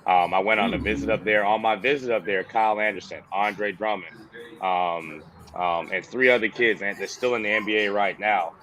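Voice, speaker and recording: A man in his thirties from the Bahamas, a former player turned coach, telling stories in a relaxed, slangy way with a toned-down Bahamian accent. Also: lowish voice, moderate pace, slight background noise, low-quality recording. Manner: casual, slangy, says "bro" and "you know". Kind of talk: relaxed interview storytelling.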